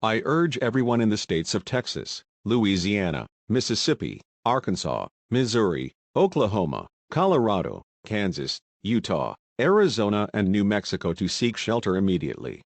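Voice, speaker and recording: Male actor as solemn head of state, lowish pitch, formal measured crisis speech, dry sound. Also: sing-song, gravelly, no room sound, full character voice. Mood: solemn, resolute. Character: grave male President giving a national emergency address. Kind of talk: formal scripted crisis address.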